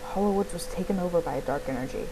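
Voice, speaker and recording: A woman's voice: lowish voice, fast talker, close to an everyday voice. A woman voicing an earnest, defensive young heroine in a horse-riding fantasy game, plain-spoken and emotional, on a noisy recording. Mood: earnest, explaining.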